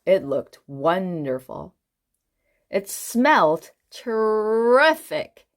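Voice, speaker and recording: Slow, very animated woman storyteller. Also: medium pitch. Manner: expressive, asks the listener questions. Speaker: children's book reader. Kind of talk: animated read-aloud storytelling for children.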